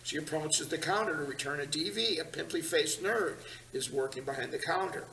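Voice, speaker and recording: Woman narrator, plain script reading, bright voice, echoey room, distant mic. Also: low-pitched, a little fast, gravelly, slight background noise. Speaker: narrator reading stage directions. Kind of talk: table read narration of a screenplay. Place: large meeting room.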